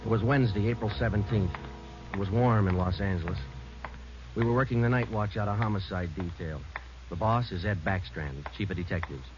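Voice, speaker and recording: An actor playing a terse, weary homicide sergeant in a radio police drama, clipped and low-key. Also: male voice, fairly quick, music in the background, lightly acted. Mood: matter-of-fact. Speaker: narrator and lead actor. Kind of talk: 1940s-50s radio police drama acting. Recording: muffled, noisy old radio recording.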